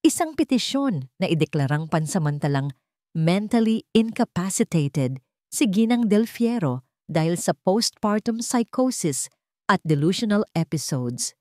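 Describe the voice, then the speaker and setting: A woman's voice: lowish voice, very animated, unhurried, darkish tone, no room sound, clean studio sound. Woman acting a smug villain's lawyer. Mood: smug, formal. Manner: mocking, feigned concern. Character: smug, falsely concerned male lawyer for a villain.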